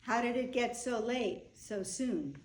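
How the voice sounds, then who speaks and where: A woman's voice: on the high side, animated, takes it slowly, slight background noise. A woman performing asides and chant in a staged play reading. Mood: measured. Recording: roomy, echoing live room recording.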